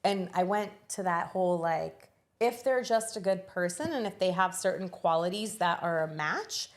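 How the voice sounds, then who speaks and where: A woman's voice: on the low side, lively intonation. A woman in a casual podcast chat, reflecting on her own experiences. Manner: reflective, measured storytelling.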